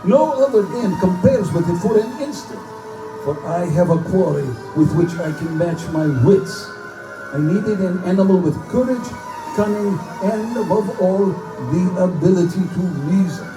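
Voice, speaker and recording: A man's voice: high voice, moderate pace, natural voice. A man playing a courtly, boastful Russian general obsessed with big-game hunting, in a theatrical live reading of pulp fiction. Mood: coolly intense. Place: bookstore live performance before microphone. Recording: echoey live room, distant mic, music underneath.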